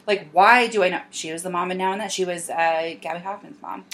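A woman's voice: on the low side, steady tone, brisk, fairly bright tone. A woman in her thirties co-hosting a podcast, talking emphatically and casually with many filler words. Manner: emphatic, full of filler likes. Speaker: podcast co-host. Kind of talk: casual chat.

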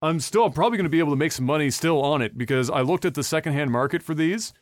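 A man's voice: on the high side, racing, no echo, studio quality. A young man hosting a podcast in casual chat, rambling with lots of fillers, restarts and swearing. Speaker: podcast host.

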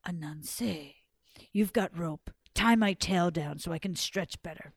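A woman storyteller voicing a vain, boastful giant male python, slow and breathy. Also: lowish voice, no echo, clean studio sound, voice pushed down, full character voice. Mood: frustrated. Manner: slow, bragging, drawn out. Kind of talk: oral storytelling.

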